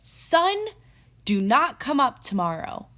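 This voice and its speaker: A woman voicing a big, boastful, stubborn bear in a children's storybook, making loud, emphatic, chanting declarations. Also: high voice, big pitch swings, slow, pitched above the performer's own voice, heavily acted. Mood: commanding, smug. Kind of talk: children's storybook read-aloud.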